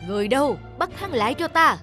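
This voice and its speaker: A woman's voice: high-pitched, animated, fast, sharp tone, faint music behind, voice pushed up. A woman voicing a commanding, anxious fatherly king in a cartoon folk tale, imperious and exclamatory.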